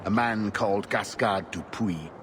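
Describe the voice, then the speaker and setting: A weary, obsessive investigator from a fantasy game played by a man lays out his case earnestly. His voice sits fairly low, measured and frustrated, over clearly audible ambient noise. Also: natural voice. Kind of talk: video game voice acting.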